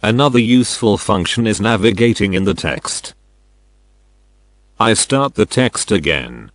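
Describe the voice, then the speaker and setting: Low-pitched older man explaining step by step. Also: lively intonation, measured pace, no echo. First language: not English. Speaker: product demonstrator. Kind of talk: scripted instructional narration.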